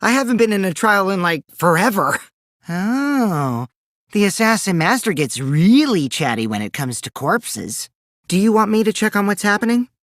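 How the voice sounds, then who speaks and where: A man's voice: sits high, sing-song, moderate pace, fairly bright tone, dry recording, studio quality, full character voice. Theatrical mischievous teen boy, wildly swooping voice. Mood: playful, teasing. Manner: theatrical, swinging from bratty teasing to sinister taunting. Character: mischievous, lying, childish teenage boy, self-styled evil supreme leader. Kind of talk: English video game voice acting.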